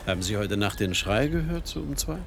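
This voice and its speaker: Gravelly nosy friendly neighbour, radio drama, very animated, faint outdoor noise. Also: male voice, on the low side, fairly quick. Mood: sociable, curious. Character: sociable, inquisitive male neighbour. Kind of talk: radio play dialogue.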